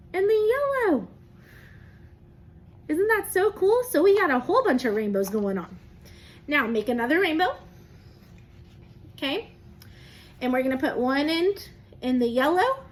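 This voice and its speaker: A woman teaches young children step by step, asking questions and encouraging them as she goes. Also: sits high. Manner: encouraging, asks questions and praises listeners as if they answer; simple step-by-step explaining. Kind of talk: interactive children's lesson, talking to camera.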